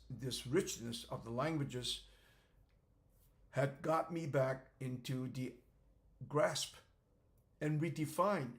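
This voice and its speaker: Slow, halting older man lecturing. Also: medium pitch. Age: 70s. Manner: halting, phrase by phrase, with repeated words. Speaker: author presenting his theory.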